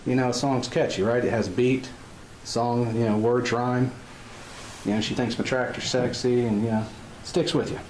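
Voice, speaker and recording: A man's voice: medium pitch, flat delivery, moderate pace, darkish tone, echoey room, slight background noise, rough recording. A man in a played clip explaining something in a loose way, full of fillers.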